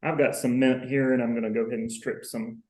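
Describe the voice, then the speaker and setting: A man's voice: medium pitch, little pitch movement, quick pace, a little mellow. Mid-voiced man, careful and methodical explainer, echoey home on a video call. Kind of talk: casual video call chat. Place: home in Miami.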